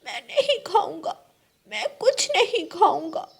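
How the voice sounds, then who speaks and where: A woman's voice: very high voice, very animated, a little slow, vocal fry, pitched above the performer's own voice, heavily acted. A woman voicing a frightened, orphaned young boy bird in a plaintive, whining way for a cartoon. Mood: distraught, pleading. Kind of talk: Hindi animated story dialogue.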